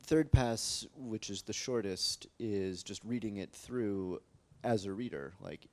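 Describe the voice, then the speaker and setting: Deep-voiced American man, rambling casually. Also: slow. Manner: relaxed, rambling, many fillers and "like". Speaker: translator on a panel. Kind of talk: casual panel discussion. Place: library event space with live audience.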